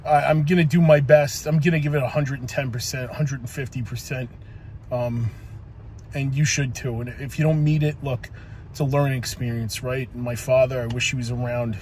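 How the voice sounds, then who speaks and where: A man's voice: mid-range voice, a little hiss or hum. A man in his forties, a police officer, giving a casual motivational talk into a phone camera in his parked car, rambling with many ums and likes and casual swearing. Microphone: phone camera on the dashboard.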